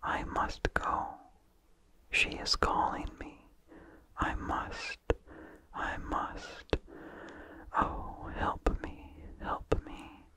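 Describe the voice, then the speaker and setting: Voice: extremely slow, pauses often, sharp tone. A reader voicing a frightened, spellbound male rector from a horror storybook, pleading in an unvoiced whisper. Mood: desperate pleading. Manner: pleading, repetitive.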